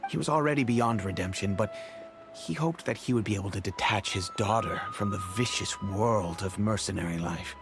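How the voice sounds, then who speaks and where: A man playing a remorseful, low-voiced middle-aged merchant. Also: natural voice. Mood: thoughtful. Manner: measured, reflective, remorseful. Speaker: wealthy patron and father figure. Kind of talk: video game voice acting. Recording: game audio with faint music.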